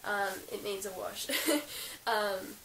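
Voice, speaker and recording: A young Australian woman with a croaky, under-the-weather voice chats through her favourite gear, full of giggles, ums and likes. She sits a little back from the mic in an echoey room, with a faint hiss running underneath. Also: medium pitch, takes it slowly, fairly bright tone. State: croaky from being sick. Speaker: equestrian vlogger. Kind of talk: casual show-and-tell vlog. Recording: roomy, slightly distant with hiss.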